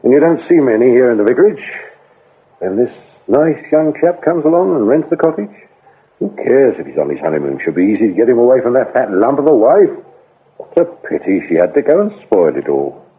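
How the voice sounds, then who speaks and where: An actor playing a shrewd, scornful British police inspector interrogating in a radio drama, sardonic and accusing. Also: male voice, medium pitch, sing-song, brisk, breathy edge. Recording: lo-fi, narrow-band radio drama recording.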